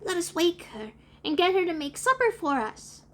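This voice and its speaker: A woman voicing an earnest, excitable little boy in a storybook, with emphatic, drawn-out childlike speech. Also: very high-pitched, pitched above the performer's own voice, exaggerated voice. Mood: eager. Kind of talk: storybook read-aloud character voices.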